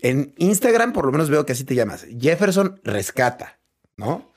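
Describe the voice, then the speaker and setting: A man's voice: a little high, big pitch swings, normal speed. A man hosting a casual interview podcast from his home, welcoming his guest and prompting him with short, encouraging interjections. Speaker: podcast host.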